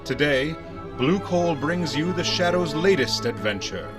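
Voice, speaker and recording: Casting: male actor as vintage radio announcer, fairly high voice, dramatic salesmanship, music behind him. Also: lively intonation, unhurried. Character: dramatic 1930s radio show announcer and pitchman. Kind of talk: 1930s radio announcing and advertising.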